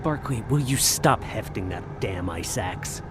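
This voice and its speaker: A man's voice: fairly low pitch, animated, unhurried, over music. An actor playing a weary, irritable expedition man in an audio drama. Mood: irritated, bitter. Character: weary, irritable male expedition member under suspicion.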